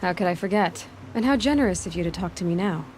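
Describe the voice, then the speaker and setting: A woman's voice: on the low side, expressive, quick pace, darkish tone, a little hiss or hum, natural voice, low-quality recording. A woman playing a determined, guarded young outcast hunter in a video game, wry and direct. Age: young adult.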